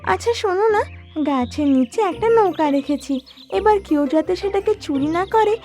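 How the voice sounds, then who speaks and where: A woman voicing an energetic, bossy little female bird in a cartoon, chirpy and easily exasperated. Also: very high-pitched, normal speed, soft background music, voice pushed up. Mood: exasperated, scolding. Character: nagging, energetic small female bird, scolding her lazy mate. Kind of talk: cartoon character voice.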